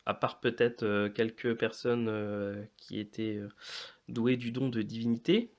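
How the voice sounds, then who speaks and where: A man in his late thirties presenting informally to viewers as a video host, often hesitating with drawn-out fillers. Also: medium pitch, fairly even intonation. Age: late 30s. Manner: hesitant, frequent long filler pauses. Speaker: YouTube host of a toy and model kit channel.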